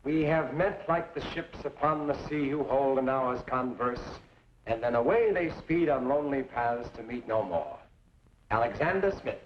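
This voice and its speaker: An actor playing an amiable, anxious older frontier newspaper publisher in an old-time western radio drama, wordy and genteel. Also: male voice, mid-range voice, animated, moderate pace, slight background noise, natural voice. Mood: wistful. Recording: muffled old radio recording with music.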